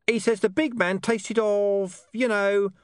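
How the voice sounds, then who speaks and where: A man's voice: high voice, steady tone, slow pace, no echo, studio quality, pitched above the performer's own voice. A man voicing a streetwise talking dog who fumbles for words in an audiobook. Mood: wry. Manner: gruff, hesitant, searching for words.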